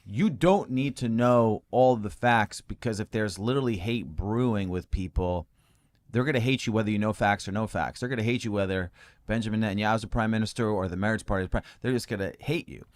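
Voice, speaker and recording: A man telling stories as an interview guest, calm and even-toned, with many fillers and frequent laughs. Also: lowish voice, fairly quick. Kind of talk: relaxed conversational storytelling.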